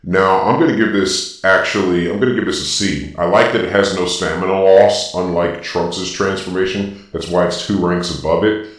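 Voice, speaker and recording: A man's deep voice runs through a robotic filter, swimming in heavy echo. He gives off-the-cuff ranking commentary, laying out his reasons one by one with firm emphasis. He sounds set back from the microphone. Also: steady tone, moderate pace, hardly any pauses. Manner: emphatic, explanatory, reasoning point by point. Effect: deep robotic Darth Vader-style voice filter. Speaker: video host. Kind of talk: informal opinionated ranking commentary, partly improvised. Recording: very echoey, distant from the mic.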